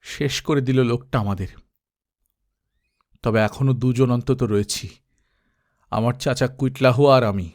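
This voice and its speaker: Guy reading as a bitter young warrior prince, low and grim, middle-pitched voice. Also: measured pace, long pauses, darkish tone, natural voice. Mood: bitter resolve. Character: proud vengeful young Aztec prince.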